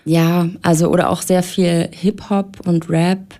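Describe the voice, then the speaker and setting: Woman, young adult, low pitch, warm tone, musician guest, hesitant casual speech. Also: measured pace. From Erfurt, Germany. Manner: relaxed, hesitant with many fillers. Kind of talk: radio interview. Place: radio studio.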